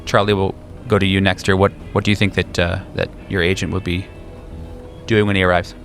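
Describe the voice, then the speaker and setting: A man's voice: deep voice, little pitch movement, a little fast, gravelly, fairly bright tone, faint music behind. A man acting as game master, describing scenes and guiding players in a tabletop roleplaying game.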